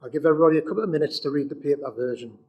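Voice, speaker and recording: Man, meeting chair, brisk procedural tone, slightly raised pitch. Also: husky, muffled recording. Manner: brisk, informal procedural directions. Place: council chamber.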